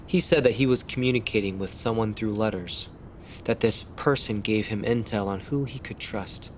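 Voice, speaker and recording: A man playing a determined, tough police detective in an audio drama, firm, deliberate and confrontational. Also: on the low side, a little hiss or hum, natural voice. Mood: guarded, confident.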